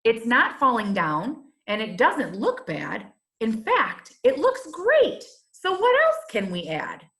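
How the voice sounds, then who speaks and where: Lady doing a grumpy snooty square guy from a kids book, talks slow and self important. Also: on the high side, huge pitch swings, fairly bright tone, heavily acted. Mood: won over. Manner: pompous, grumbling. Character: stuffy, grumbling male red square, dismissive storybook shape.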